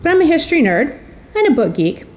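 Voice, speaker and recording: Mellow-voiced woman giving a prepared introductory speech. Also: sits high, very animated, fast talker, a little hiss or hum. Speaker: presenter introducing an author.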